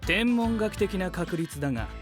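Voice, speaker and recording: A man's voice: high voice, expressive, normal speed. A man playing a pedantic, aloof young scientist knight in a comedic audio drama, speaking in a pompous, wordy, logical way. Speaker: voice actor. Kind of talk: comedic character dialogue. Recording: studio voice over prominent background music.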